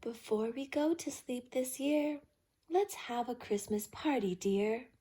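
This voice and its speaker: A woman voicing a gentle, sleepy mother bear in a children's storybook, motherly in manner. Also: fairly high pitch, takes it slowly, breathy edge. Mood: drowsy, fond. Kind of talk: children's storybook read-aloud.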